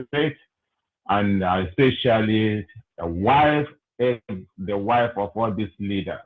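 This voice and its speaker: A man from Nigeria with a Nigerian accent speaks over a tinny phone line. His voice is low and his pace slow and unhurried, with frequent pauses and fillers as he works through his greetings. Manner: slow, hesitant, many fillers. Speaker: caller. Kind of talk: call-in greetings and comment.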